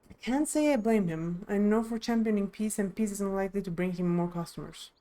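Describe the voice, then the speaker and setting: A woman's voice: medium pitch, brisk, mellow. A woman streamer giving casual commentary while playing through a game.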